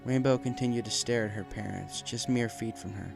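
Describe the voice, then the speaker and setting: A man's voice: fairly low pitch, fairly even intonation, a little fast, vocal fry. A man reading a story aloud as dramatic scripted narration. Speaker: story reader. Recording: music playing behind the voice. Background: music.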